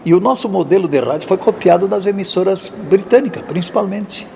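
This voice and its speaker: A man's voice: sits high, fairly quick, creaky, a little mellow, a little hiss or hum. A man explaining the history of radio journalism as an interviewee.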